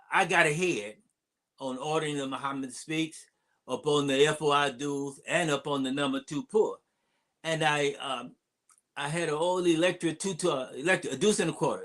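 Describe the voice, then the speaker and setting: Older male imam, slightly high-pitched voice, unscripted storytelling, halting delivery, wandering asides. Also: fairly bright tone. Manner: halting delivery with long digressions. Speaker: imam giving a public address. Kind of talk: unscripted storytelling address, reminiscing.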